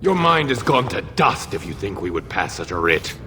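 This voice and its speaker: An actor voicing a haughty, belligerent dwarf lord, forceful and scornful, in a fantasy game. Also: male voice, deep voice, very animated, moderate pace, soft background music, full character voice. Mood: scornful, threatening. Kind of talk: dramatic fantasy game acting.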